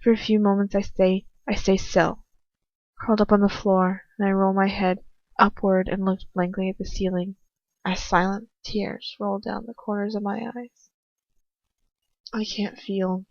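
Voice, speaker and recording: A woman reading a dramatic first-person story aloud with an emotive, slightly halting delivery. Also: medium pitch, little pitch movement, a little mellow, dry recording. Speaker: amateur fan fiction reader. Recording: dull lo-fi home recording.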